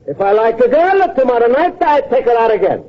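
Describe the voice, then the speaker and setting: An eager, innocent Italian immigrant in an old radio comedy. His very high voice bounces around in simple, earnest broken English with a strong Italian accent. The sound is dull and a bit noisy, like a vintage broadcast. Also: big pitch swings, brisk. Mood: eager, anxious. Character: naive, eager, warmhearted Italian immigrant man in America.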